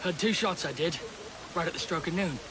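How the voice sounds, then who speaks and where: Earnest, higher-voiced army veteran played by an actor. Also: male voice, a little fast, noisy background, lightly acted. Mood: sombre, respectful. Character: loyal, plain-spoken male Victorian army veteran and witness. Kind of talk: video game dialogue acting.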